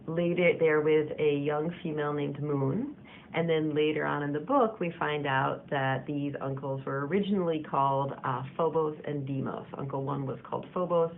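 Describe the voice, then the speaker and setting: A woman author posing quiz questions to the listener in a relaxed, conversational way. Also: deep voice. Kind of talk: casual video message. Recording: gravelly phone-like audio with faint hiss.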